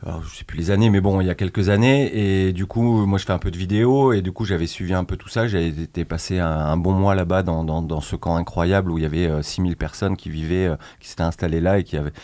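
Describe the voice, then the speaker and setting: A man's voice: low voice, quick pace, few pauses, dark tone, no room sound. A man from northern France, a volunteer at a migrant aid group, talking hesitantly in a casual radio interview with many fillers and restarts. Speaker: association founder.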